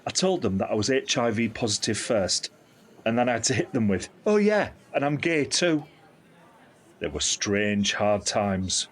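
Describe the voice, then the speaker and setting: An actor playing a candid, caring middle-aged uncle in an audio drama, speaking in an earnest, reflective, explanatory way. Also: male voice, mid-range voice, lively intonation, normal speed, lightly acted. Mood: wry, reflective. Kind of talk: scripted audio drama dialogue.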